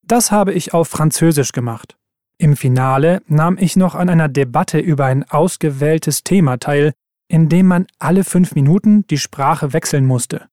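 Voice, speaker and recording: A man reading scripted exam texts aloud in an even, careful way. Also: a little high, normal speed, dry recording, studio quality. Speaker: exam recording reader.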